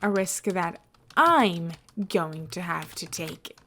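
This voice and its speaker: A woman's voice: on the low side, expressive, slow pace, a bit bright, a little hiss or hum, exaggerated voice. A woman reading the part of a nervous, reluctant ordinary man in a superhero audio story. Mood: indignant.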